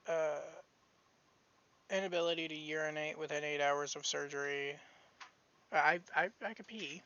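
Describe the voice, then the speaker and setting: A man's voice: fairly high pitch, steady tone, moderate pace, bright, forceful tone, clean tone, dull audio. A man giving a casual update to his viewers after surgery, drowsy, rambling and restarting often, with a scratchy voice. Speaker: streamer giving a health update. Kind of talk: casual live-stream chat.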